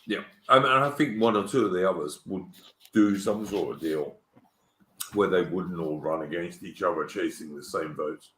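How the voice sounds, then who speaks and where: An English man hosting a casual online political chat, halting and searching for words, with many ums and restarts. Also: low-pitched, pushed and bright, slight background noise. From England. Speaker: host. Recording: echoey room.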